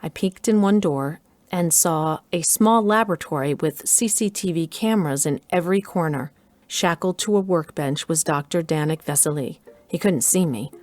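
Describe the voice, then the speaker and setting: A woman's voice: low-pitched, moderate pace, darkish tone, lightly acted. A woman playing a resolute, stealthy mother and doctor who narrates a scripted audio drama. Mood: measured suspense. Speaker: narrator and lead actor.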